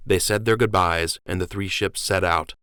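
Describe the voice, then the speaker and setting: A man narrating an audiobook with expressive storytelling on a close studio mic. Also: low-pitched, moderate pace, smooth, dry recording.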